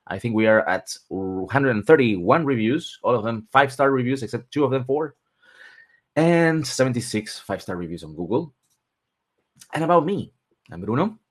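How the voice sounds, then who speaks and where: A man, hosting a webinar and working through lists point by point with pauses between items. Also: mid-range voice. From Argentina. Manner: steady, list-like delivery with pauses between items. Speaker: webinar host and relocation consultant. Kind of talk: presenting a webinar.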